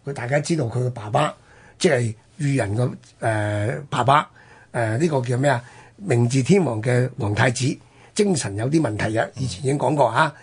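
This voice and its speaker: A man's voice: mid-range voice, moderate pace, husky. A man with a Hong Kong Cantonese accent telling history conversationally as a radio host, explaining with rhetorical questions and interjections.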